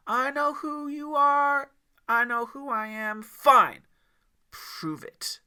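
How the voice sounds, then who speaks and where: A man's voice: extremely high pitch, takes it slowly, pushed and bright, voice pushed up, exaggerated voice. A man voicing the devil Lucifer as a scornful, theatrical tempter in an audiobook story, mocking and drawing out his emphasis. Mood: high mocking.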